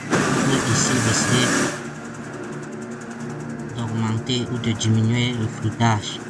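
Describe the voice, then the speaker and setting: A man presenting a programming tutorial, thinking aloud as he codes, with a hesitant, halting step-by-step way of explaining. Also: mid-range voice, monotone, very noisy. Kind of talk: screencast tutorial, thinking aloud while coding. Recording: distant mic, loud music behind.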